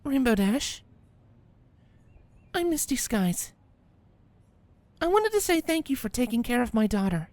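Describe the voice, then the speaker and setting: A woman's voice: fairly high pitch, sing-song, unhurried, pauses often, a little mellow, no room sound. A woman playing a polite but insistent mother mare in a cartoon-pony fan fiction audio drama.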